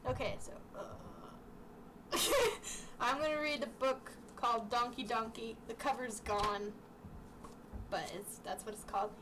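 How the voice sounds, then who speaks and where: A woman's voice: a little high, slow pace, pauses often. Distant, noisy recording of a giggly young woman. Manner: giggly, breaking off into asides. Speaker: amateur vlogger reading childhood books aloud. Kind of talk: casual chatty read-aloud with asides. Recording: distant mic with clear background noise.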